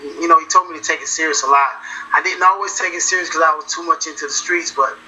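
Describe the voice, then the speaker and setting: A man, a musician talking in a casual interview, relaxed and repeating himself as he goes. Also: a little high, fast talker, lo-fi sound. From South Carolina. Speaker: musician guest.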